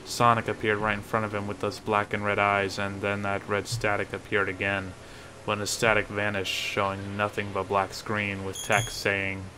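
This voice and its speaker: A man's voice: fairly low pitch, completely flat delivery, normal speed, noisy background. A man reading a first-person horror story as a creepypasta narrator. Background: music.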